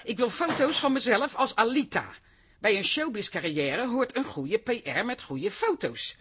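A brash, self-important aunt with stardom on her mind in a comic radio play. Her voice is very husky and bright, swooping up and down as she grandly orders people about. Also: fairly high pitch, expressive, moderate pace. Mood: pushy, self-assured. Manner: grandiose, theatrical, bossy. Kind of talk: Dutch comic radio drama acting.

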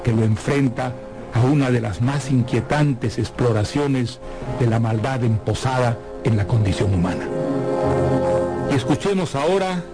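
A man presenting a scripted literary introduction in a measured, formal, erudite way. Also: medium pitch, animated, music in the background.

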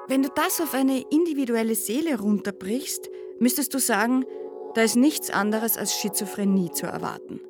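Actress as ironic female lecturer, higher-pitched voice, rhetorical, pointed, wry, satirical radio play, music behind. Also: close to an everyday voice. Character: eloquent, ironic woman lecturing on Prussia's national psyche.

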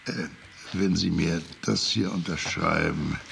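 An actor playing a dry, shrewd, casually corrupt official in a radio drama, with a gravelly, creaky voice and matter-of-fact, wry manner. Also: male voice, sits low, steady tone, unhurried, noisy background, heavily acted. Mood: businesslike, sly. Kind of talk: radio drama dialogue in German.

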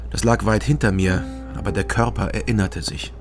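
A wry, taciturn East German night porter tells his story in a radio crime play, played by a male actor. His voice is fairly low and his delivery terse and understated, with music clearly underneath. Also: steady tone, normal speed, natural voice. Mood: dry, reflective. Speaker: first-person narrator. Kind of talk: noir-like radio drama narration and dialogue. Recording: music behind the voice.

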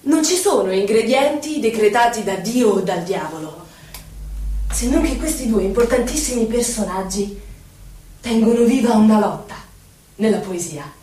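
An actress playing an earnest, sententious voice of a poet's thought, reciting on stage in measured, declamatory phrases. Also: female voice, on the high side, expressive, warm tone, close to an everyday voice. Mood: earnest, pensive. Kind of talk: theatrical poetry recitation. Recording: echoey hall from far off, faint music. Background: faint music, violin.

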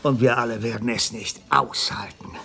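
A man's voice: medium pitch, fairly even intonation, gravelly, pushed and bright. An actor playing a melancholy, bitter male poet in a radio play, declaiming theatrically. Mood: bitter warning. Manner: slow, declamatory. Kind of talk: German radio play acting.

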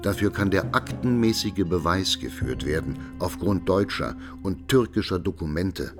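A very deep man's voice a sober documentary reporter in a radio play. He speaks formally and declaratively, with music clearly underneath. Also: expressive, moderate pace. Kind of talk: scripted radio drama narration.

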